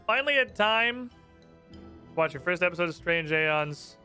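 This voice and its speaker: A man's voice: high voice, lively intonation, normal speed, bright, forceful tone, faint music behind. A man streaming a game, chatting casually, muttering to himself and swearing freely.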